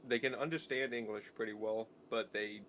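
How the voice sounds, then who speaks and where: A man's voice: lowish voice, steady tone, normal speed, crowd noise. A man acting as co-pilot on a stream, casually asking curious questions and joking along. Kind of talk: casual chat.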